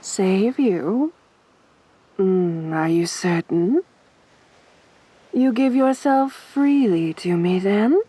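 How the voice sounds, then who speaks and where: A woman playing a possessive, teasing siren in a soft, breathy voice for an ASMR roleplay, with a seductive, toying manner. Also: medium pitch, exaggerated voice. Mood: toying, sly. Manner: slow, seductive, toying, assertive. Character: beautiful sadistic siren, winged monster woman, teasing, possessive.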